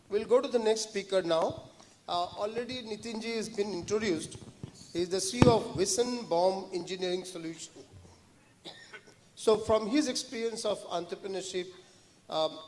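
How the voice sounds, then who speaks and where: A man's voice: high voice, a little mellow, a little hiss or hum. A man with an Indian accent moderating a panel discussion, asking long questions and switching between languages. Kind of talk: moderating a panel in mixed Hindi and English.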